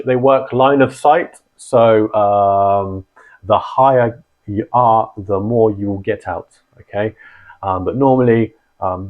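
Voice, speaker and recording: Low-voiced man explaining radios. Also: narrow, tinny audio. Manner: relaxed and conversational, many ums and restarts. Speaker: channel host and founder of a radio community. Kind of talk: casual explainer talk.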